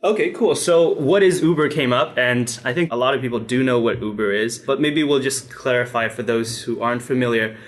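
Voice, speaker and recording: A man's voice: mid-range voice, fairly quick, hardly any pauses. A man speaking as a podcast host, introducing the show and putting questions to a guest. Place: sit-down interview in Shenzhen.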